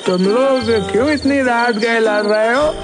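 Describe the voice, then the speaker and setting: A man playing a weary villager in an animated horror story. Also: extremely high pitch, a little slow, music in the background. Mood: drunk, carefree.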